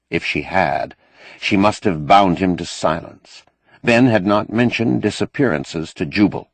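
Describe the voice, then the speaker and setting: A man reading fiction as an expressive audiobook narrator. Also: deep voice, vocal fry, slightly rough, dry recording.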